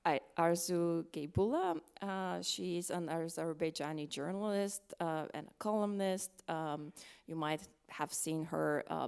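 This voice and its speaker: Panel moderator, woman from Bulgaria: low, creaky voice, halting and unhurried, addressing a live audience. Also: darkish tone. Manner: hesitant, halting delivery with many ums.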